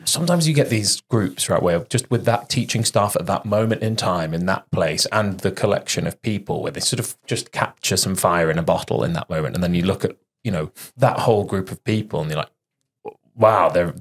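Deep-voiced man hosting a chat. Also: fairly quick, slightly rough. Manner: reflective, long rambling thoughts. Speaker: podcast host. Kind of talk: casual interview chat.

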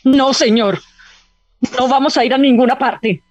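Una actriz de radionovela con acento colombiano interpretando a una madre de mediana edad, protectora y desafiante. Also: female voice, high voice, unhurried, darkish tone, lo-fi sound, soft background music. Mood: alarmed, defiant. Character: protective, defiant middle-aged mother facing danger. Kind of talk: radio drama acting.

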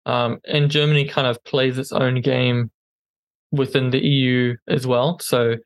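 A man with a non-native English accent talks through the news in a relaxed podcast chat. His pitch sits in the middle, and he stops and restarts often. The sound is dry and close, with little room tone. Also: a little slow, dry recording. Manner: hesitant, many ums and restarts. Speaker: podcast co-host.

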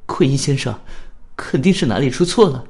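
A man's voice: mid-range voice, lively intonation, a little fast, a little mellow, voice pushed up, natural voice. A man voicing a proud, earnest and anxious young woman in a radio drama, with formal, emphatic phrasing. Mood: alarmed, indignant.

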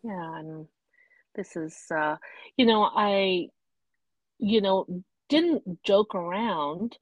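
A woman's voice: mid-range voice, expressive, drawn-out words, no echo, muffled recording. A woman co-hosting a podcast in casual chat, hesitant, with many ums and trailing sentences. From Canada. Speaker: podcast co-host.

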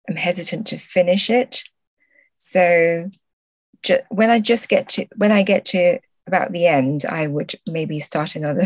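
Woman, lowish voice, interview guest, reflective, hesitant phrasing, dry acoustic. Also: no echo. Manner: hesitant, searching for words, thoughtful. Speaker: guest, literary translator.

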